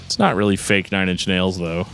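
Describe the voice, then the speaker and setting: A man with a fairly low voice talks casually as a podcast co-host. His manner is sarcastic and joking, and music plays faintly behind him. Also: moderate pace, creaky, a bit bright.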